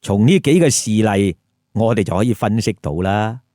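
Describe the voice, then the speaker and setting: A man's voice: on the low side, animated, normal speed, dark tone, no room sound, clean studio sound. A man telling a story with comic commentary, colloquial and putting rhetorical questions to listeners. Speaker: storyteller, host.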